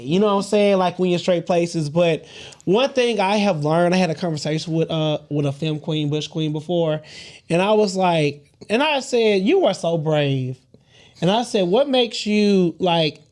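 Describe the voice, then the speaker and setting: A podcast co-host with a noticeably high, light man's voice. He tells his stories with sass and plenty of laughter, swearing freely along the way. His talk is loose and full of attitude. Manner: animated, sassy, swears freely, laughs often. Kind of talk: casual group chat, storytelling.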